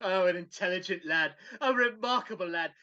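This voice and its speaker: A woman's voice: fairly low pitch, fairly quick, sharp tone. A woman playing an old reformed miser in an impassioned, theatrical live dramatic reading over a video call. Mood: overjoyed. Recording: dull video call audio.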